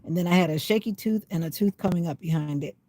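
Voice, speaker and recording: An older woman with a slightly raspy voice rambles casually on a livestream, sitting in her parked car while it rains. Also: fairly low pitch, quick pace, mellow. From USA. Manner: rambling, chatty, talking to herself. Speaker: vlogger. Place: inside a parked car in the rain.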